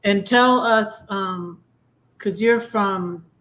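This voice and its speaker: A woman with a medium-pitched voice hosts a casual live chat. She tells personal stories in a halting, rambling manner, circling back over her phrases. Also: fairly bright tone. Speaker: live stream host.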